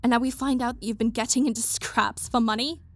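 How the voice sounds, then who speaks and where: A woman's voice: on the high side, fairly even intonation, a little fast, no room sound. A woman voicing a stern, bossy but caring young woman who scolds someone she cares about. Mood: scolding. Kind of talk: fan audio roleplay.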